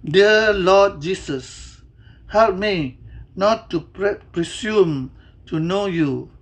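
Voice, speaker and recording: A person reading a scripted Bible devotional and prayer in a measured, deliberate, reverent manner. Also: mid-range voice.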